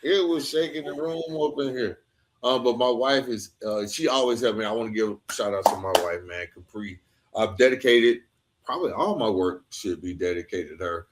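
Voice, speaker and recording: A man's voice: lowish voice, moderate pace, slight background noise. A man hosting a podcast and interviewing a guest in an informal, conversational way, often turning aside to speak to listeners.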